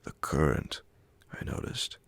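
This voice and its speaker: A man reading classic horror fiction as an ASMR audiobook, in a hushed, measured, breathy and gravelly voice. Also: no echo. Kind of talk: ASMR audiobook scripted narration.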